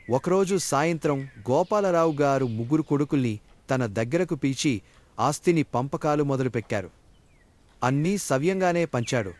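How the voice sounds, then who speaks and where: A man's voice: mid-range voice, a little mellow. A man narrating a scripted moral story for children as a storyteller.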